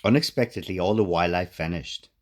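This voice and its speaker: Deep-voiced man narrating a children's story. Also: fairly quick, darkish tone. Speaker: author reading own children's book.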